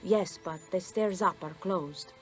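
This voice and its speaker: A woman plays a gentle, practical Italian caretaker in a video game. She has an Italian accent and a fairly low voice, with faint music behind. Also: unhurried, lightly acted. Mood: soothing, matter-of-fact.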